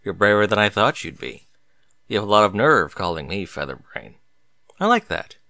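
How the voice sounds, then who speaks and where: A man's voice: fairly low pitch, very animated, gravelly, sharp tone, no room sound, pitched below the performer's own voice, heavily acted. A man voicing a brash, protective male pegasus pony in a story reading, speaking bluntly. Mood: amused, bold. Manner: blunt, slow-spoken.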